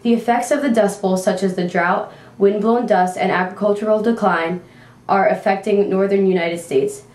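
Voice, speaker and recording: A woman's voice: mid-range voice, steady tone, moderate pace, darkish tone. Female reporter, scripted amateur news, mid-range voice, far from the microphone. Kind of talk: scripted amateur mock newscast. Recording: echoey room, distant mic.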